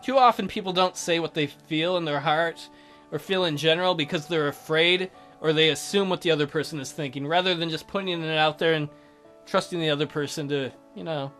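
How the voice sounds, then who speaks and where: A man's voice: on the high side, a little fast. A man hosting a let's play, reading visual novel narration aloud with casual asides. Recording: soft music behind the voice.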